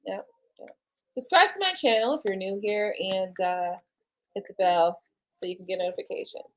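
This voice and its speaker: A woman's voice: mid-range voice, moderate pace, lo-fi sound. A woman reading tarot cards on a casual live stream, rambling and chatting to her viewers and to spirit. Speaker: tarot card reader.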